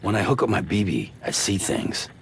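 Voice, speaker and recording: An actor playing a gruff, weary courier in a video game, speaking tersely and guardedly with a gravelly voice. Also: male voice, lowish voice, very animated, moderate pace, a little hiss or hum. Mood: guarded, uneasy. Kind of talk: naturalistic video game cutscene acting.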